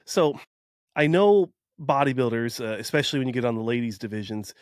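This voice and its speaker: A man's voice: medium pitch, animated, fairly quick, no echo, studio quality. A man from Wisconsin hosting a podcast in casual chat, joking and self-deprecating. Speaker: podcast host.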